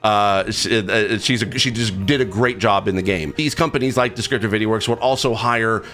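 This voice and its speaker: A man hosting a casual vlog, talking in an excitable, emphatic way and often interrupting himself. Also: on the low side, moderate pace, faint music behind. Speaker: video game accessibility reviewer and vlog host. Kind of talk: casual personal vlog talk to camera.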